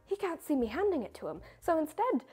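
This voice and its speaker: A woman's voice: high voice, sing-song, fairly quick, mellow, soft background music. A young woman, a baker, answering viewer questions in a chatty, self-mocking way. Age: young adult. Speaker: baker and video creator. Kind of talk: casual question-and-answer chat.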